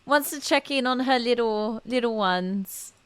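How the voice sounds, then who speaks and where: A woman game streamer giving casual, gushing, expressive reaction commentary over gameplay. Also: on the high side, unhurried, noisy background.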